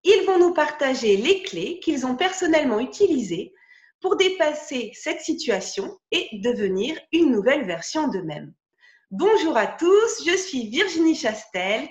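A woman from France hosting a podcast, reading a gentle scripted intro and then chatting with her guest, with hesitant restarts. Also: high-pitched, muffled recording. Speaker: podcast host and interviewer. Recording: echoey room.

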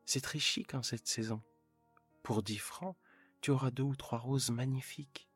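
A man's voice: medium pitch, measured pace, slightly rough, faint music behind, pitched above the performer's own voice. A man voicing an anxious, eager husband who is a modest clerk, talking in a raised, pleading way.

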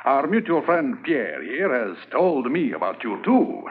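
A guarded, businesslike American millionaire buyer, talking in even, measured terms. His pitch rises and falls with lively inflection. He sounds dull and lo-fi, like an old radio transcription. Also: slight background noise. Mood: cool, businesslike. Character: wealthy, wary American drug buyer, middle-aged man. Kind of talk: 1950s radio drama acting. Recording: muffled, lo-fi 1950s radio transcription.

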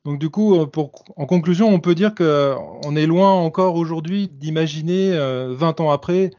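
Male radio host, courteous and gracious, slightly higher pitch. Muffled, lo-fi sound. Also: a little mellow. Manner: polite, wraps up with thanks. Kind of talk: radio interview hosting. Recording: dull lo-fi sound.

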